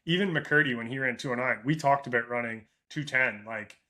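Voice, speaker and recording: A man's voice: mid-range voice, brisk. Chatty Canadian man coaching runners. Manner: animated, acts out runners' thoughts in quoted asides; ends points with "right?". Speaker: running coach and host. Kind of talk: casual coaching chat over a call.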